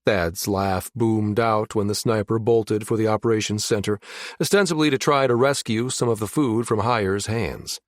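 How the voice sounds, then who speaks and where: A man reading a science fiction audiobook in a steady, measured narration. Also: on the low side, no echo, studio quality. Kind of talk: scripted narration.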